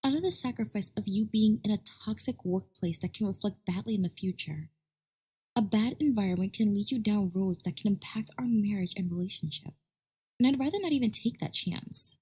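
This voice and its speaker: A woman voicing a stubborn, devoted young pony wife, earnest and breathy, in a fan fiction audio drama. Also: mid-range voice, brisk, darkish tone, lightly acted. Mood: worried insistence. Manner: earnest, insistent.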